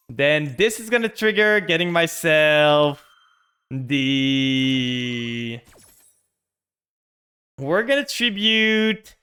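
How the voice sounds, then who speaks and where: A man's voice: a little high, sharp tone, clean tone. A man with a French-Canadian accent, streaming a card game and talking his moves through with chat. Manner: thinks aloud, narrating each play to chat. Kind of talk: casual game stream commentary.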